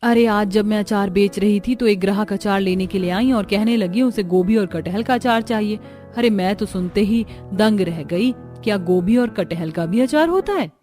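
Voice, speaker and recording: A woman voicing hardworking, excitable young village daughters-in-law in a Hindi cartoon story, with an expressive, exclaiming delivery. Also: medium pitch, normal speed, faint music behind, lightly acted. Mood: surprised, chatty.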